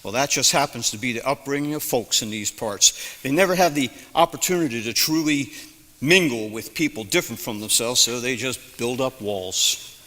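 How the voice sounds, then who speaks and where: An actor playing a middle-aged drugstore owner and war veteran from the early sixties, folksy and prone to ranting. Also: male voice, mid-range voice, animated, normal speed, bright, forceful tone. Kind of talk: student stage play. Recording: reverberant hall.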